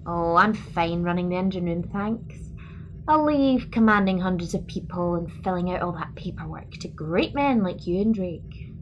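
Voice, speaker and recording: A woman's voice: lowish voice, animated, moderate pace, dull audio, natural voice. A woman playing a friendly, doubting starship engineer in an audio drama, informal in manner. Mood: teasing.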